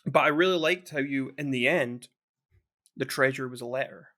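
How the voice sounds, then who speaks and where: A man chatting casually on a podcast at a middle pitch. He rambles along with frequent restarts and trailing thoughts. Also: normal speed, bright, forceful tone. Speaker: podcast co-host.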